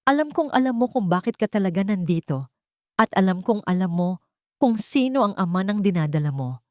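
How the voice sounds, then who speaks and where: A woman voicing a cold, wealthy matriarch who speaks softly with menacing, controlled authority in an audio drama. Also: medium pitch, expressive, brisk, no room sound, studio quality, lightly acted. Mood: cold, threatening. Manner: soft-spoken, controlled, commanding.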